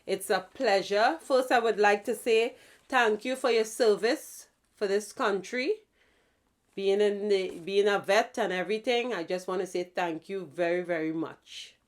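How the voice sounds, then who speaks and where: Slow-talking woman interview host. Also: mid-range voice. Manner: affirming, unhurried, full of fillers. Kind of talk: casual interview.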